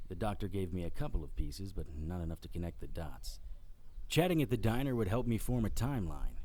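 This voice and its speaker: A man's voice: sits low, dark tone. An actor playing a wisecracking private investigator who narrates his own story in a radio drama. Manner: dry, sardonic wisecracks. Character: Harry Strange, wisecracking male private investigator. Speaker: narrator and lead character. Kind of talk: hardboiled first-person narration.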